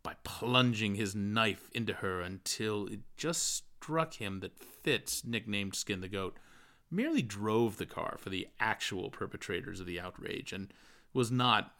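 A man narrates a literary audiobook. His voice sits a little low, and he reads long, winding sentences with expression. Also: bright, forceful tone. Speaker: audiobook reader.

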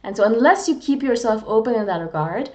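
A woman's voice: on the high side, lively intonation, a little fast, darkish tone. Earnest, self-deprecating woman from India. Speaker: vlogger on personality types. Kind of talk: casual reflective monologue to camera.

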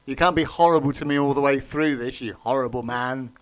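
A man's voice: mid-range voice, brisk, no echo. A man co-hosting a football podcast, mock-dramatic and self-deprecating. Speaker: podcast co-host. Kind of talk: casual football chat.

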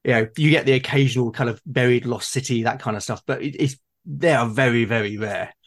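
Man, average pitch, conversational, hesitant, repeats words, reflective discussion. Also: a little fast. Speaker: tabletop gaming podcast speaker.

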